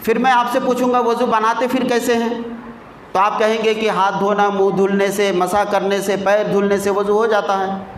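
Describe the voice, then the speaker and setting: Guy giving an islamic sermon, really high voice, echoey, teaching with stories and questions. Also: little pitch movement, normal speed. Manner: didactic, repeats key phrases for emphasis. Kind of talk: sermon with storytelling and rhetorical questions. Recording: echoey sound with faint background noise.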